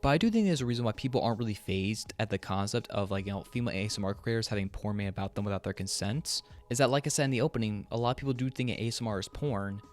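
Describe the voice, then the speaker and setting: A man's voice: lowish voice, rapid-fire, hardly any pauses, faint music behind. A man delivering opinionated scripted commentary as a video host, bluntly, with casual slang and swearing.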